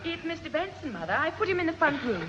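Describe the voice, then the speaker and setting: An actress playing an exasperated, teasing older sister in a 1960s radio sitcom. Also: female voice, sits high, sing-song, quick pace, fairly bright tone, a little hiss or hum. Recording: muffled 1960s radio recording, hall, audience.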